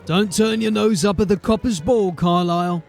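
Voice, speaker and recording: An actor playing a wary, blunt city watch officer with a dry, guarded manner in a fantasy audio drama. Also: male voice, high voice, moderate pace, vocal fry, a little mellow, soft background music. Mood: sardonic.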